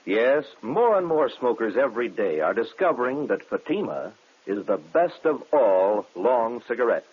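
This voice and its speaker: A man's voice: mid-range voice, sing-song, normal speed, husky. A man with an American accent reading a scripted advertisement as a 1950s radio commercial announcer. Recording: thin phone-like old radio recording.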